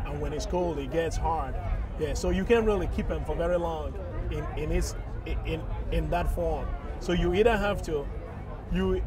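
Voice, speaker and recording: Over the clear bustle of a crowd outdoors comes a middle-aged man with a Nigerian accent. His voice sits a little high and is picked up slightly from a distance. He speaks earnestly and reflectively, as an artist being interviewed. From Eastern Nigeria. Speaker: sculptor, interviewee. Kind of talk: informal interview about own work. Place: outdoor art fair. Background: busy crowd.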